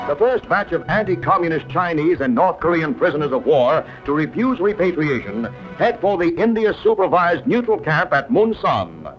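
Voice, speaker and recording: An American man reading scripted 1950s newsreel narration with emphatic, announcer-style delivery. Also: a little high. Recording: dull old newsreel soundtrack, prominent music. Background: newsreel music.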